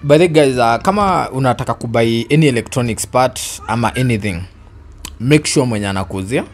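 A man's voice: mid-range voice, lively intonation, fairly quick. A Kenyan man in his twenties hosting a casual storytelling chat, mixing Swahili and English. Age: 20s. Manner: code-switching between Swahili and English. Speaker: host. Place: indoor living room on a sofa. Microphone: shared handheld microphone.

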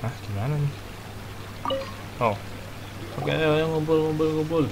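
A woman's voice: sits low, moderate pace, gravelly, noisy background. A woman with an Indonesian accent giving casual, slangy, chatty commentary as she plays a game. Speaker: game streamer. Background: game music and dialogue.

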